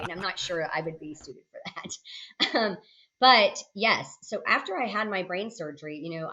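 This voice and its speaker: A woman's voice: deep voice, sharp tone, dull audio. A woman in her late forties speaking conversationally as a podcast guest, reflective and often adding short check-in questions. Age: late 40s. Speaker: podcast guest, senior healthcare leader. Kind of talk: conversational interview, personal storytelling.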